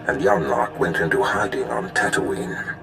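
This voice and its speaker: An actor playing a scheming, contemptuous Sith master in a video game, with a formal, grandiose and menacing manner. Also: male voice, low voice, wildly swooping pitch, a little slow, creaky, exaggerated voice. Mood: grave, scheming. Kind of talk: video game cutscene acting. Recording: game music behind the voice.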